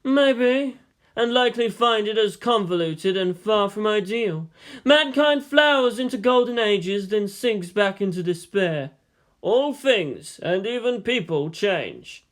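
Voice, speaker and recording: A man voicing a weary, thoughtful statesman and historian who lectures in a measured, philosophical way in a story reading. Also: sits high, expressive, a bit bright, pitched above the performer's own voice, exaggerated voice. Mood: reflective.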